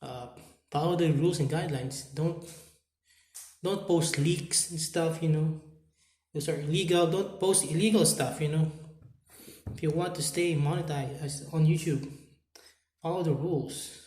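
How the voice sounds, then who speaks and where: Filipino-accented man, halting talk, echoey room. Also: a little high, a little mellow. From Philippines. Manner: halting, repeats words, many fillers. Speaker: YouTuber. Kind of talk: unscripted talk to camera.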